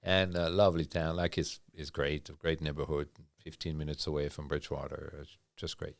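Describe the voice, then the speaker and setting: A man's voice: very deep voice, little pitch movement, fairly quick, gravelly, dry recording, clean studio sound. A middle-aged man from France with a French accent, a culinary instructor chatting in an interview, hesitant and full of fillers. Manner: hesitant, many fillers and restarts. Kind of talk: casual interview chat.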